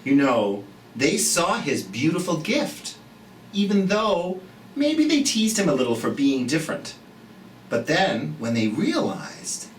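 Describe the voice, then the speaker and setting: A storyteller of unclear gender hosting a children's storytime, theatrical and expressive, speaking gently and encouragingly straight to young viewers with drawn-out emphasis. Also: medium pitch. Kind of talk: animated children's read-aloud and gentle chat to young viewers. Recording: roomy echo, distant mic, light noise.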